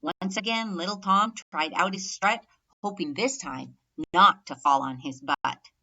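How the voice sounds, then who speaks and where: A woman reads a rhyming children's story aloud with clear emphasis on each rhyme. Her voice is slightly low and bright, and she takes her time over the lines. The narration is measured and expressive. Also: highs cut off. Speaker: storybook reader.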